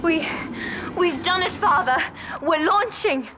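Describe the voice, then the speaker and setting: A young woman playing a ruthless but devoted teenage daughter in a dramatic video game, with deliberate, earnest, commanding delivery. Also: very high-pitched, lively intonation, exaggerated voice, low-quality recording. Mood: urgent, alarmed. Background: music.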